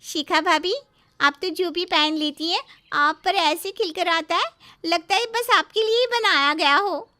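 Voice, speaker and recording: An admiring young sister-in-law from a family tale, acted by a woman. She speaks in a very high, shiny voice. Her delivery is girlish, gushing and frank. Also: measured pace, sharp tone, exaggerated voice.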